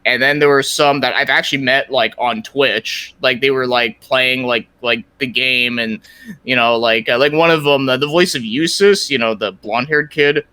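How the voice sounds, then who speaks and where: A man's voice: mid-range voice, fairly even intonation, normal speed, sharp tone, clear, resonant tone, no room sound. A man chatting casually as a podcast guest, rambling and informal, with lots of filler words. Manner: rambling, informal, peppered with "like" and mild swearing. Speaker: podcast guest, YouTube content creator. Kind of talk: casual interview chat about his projects and gaming.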